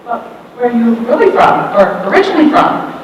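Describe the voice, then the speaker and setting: A woman on a panel in a school hall, talking in a lively way and laughing between points. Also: fairly high pitch, fairly quick, slight background noise. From Oklahoma, USA. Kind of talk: panel discussion. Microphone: distant room mic. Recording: echoey hall from far off.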